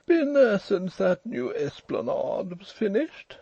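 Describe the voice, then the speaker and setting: A man voicing a languid, overbearing, lordly English gentleman with an upper-class drawl in a storybook reading. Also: high voice, very animated, slow pace, breathy edge, no echo, dull audio, pitched above the performer's own voice, full character voice. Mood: bored, knowing.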